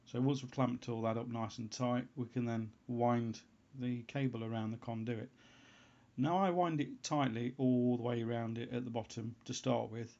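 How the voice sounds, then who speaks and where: Monotone man with a gravelly voice, explaining. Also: medium pitch. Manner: hesitant, halting, thinking aloud. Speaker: hobbyist presenter of a homebrew antenna tutorial. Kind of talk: unscripted how-to voice-over. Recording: dull, lo-fi sound.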